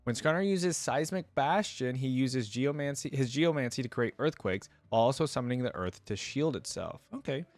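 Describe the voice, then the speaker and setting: A young man hosts a reaction video in a chatty, informal way. His voice sits at a middling pitch. He moves between casual comments and reading aloud, recorded dry and close on a desk microphone. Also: lively intonation, moderate pace, few pauses, no room sound. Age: young adult. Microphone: desktop microphone close to the mouth.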